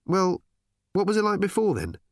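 Exasperated male traveller in a sci-fi storybook, read by a man: raised pitch, insistent, sharp demands. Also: huge pitch swings, normal speed, no echo, studio quality. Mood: demanding. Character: impatient, exasperated adult male space traveller.

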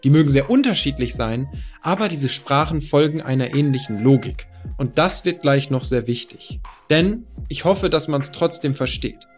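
Man, northern German, thirties, calm explainer, slightly high voice, faint background music. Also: normal speed. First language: Low German. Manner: calm, methodical, personal explanations. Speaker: podcast host. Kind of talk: explanatory monologue.

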